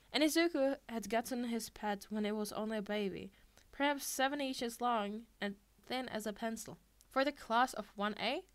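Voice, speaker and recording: A woman reading fiction aloud in an expressive amateur audiobook style. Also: medium pitch. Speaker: podfic reader.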